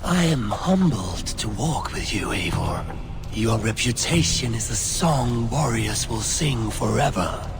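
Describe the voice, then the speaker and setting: An actor playing an ancient god-king who tempts a Viking warrior, speaking slowly and solemnly with a gravelly voice in a video game cutscene. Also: fairly low pitch, over music. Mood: solemn, flattering. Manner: slow, solemn and flattering, then raging insults. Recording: loud orchestral game score behind.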